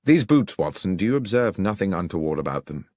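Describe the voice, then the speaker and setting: Confident male detective , acted by a male reader, audiobook mystery. Declamatory, precise, very lively pitch, dry close recording. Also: fairly quick, dry recording. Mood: assured, probing. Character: confident, theatrical male detective explaining his deductions.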